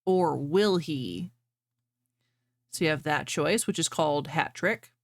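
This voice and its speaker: Low-pitched woman streamer, dry close sound. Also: animated, slow pace, vocal fry, dry recording. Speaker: streamer reading fan fiction to viewers. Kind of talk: casual livestream chat and reading aloud.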